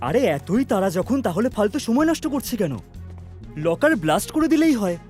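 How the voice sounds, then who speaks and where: An impatient, brash cartoon fellow. His voice is very high and swoops around, over faint music. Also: sing-song, a little fast, a little mellow. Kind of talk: animated cartoon dialogue.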